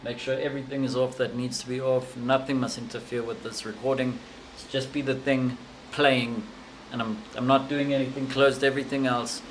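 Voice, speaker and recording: A young adult man talking casually to himself through a demonstration, thinking aloud in short, halting fragments. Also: mid-range voice, little pitch movement, slight background noise, rough recording. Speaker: amateur vlogger.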